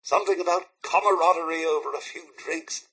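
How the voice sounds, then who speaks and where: An actor playing a fussy, loyal robot butler in a video game, with a British accent and a prim, formal, theatrically courteous manner. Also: male voice, on the high side, fairly quick, creaky, sharp tone, slightly rough, exaggerated voice, rough recording. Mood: politely reserved. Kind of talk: video game character dialogue.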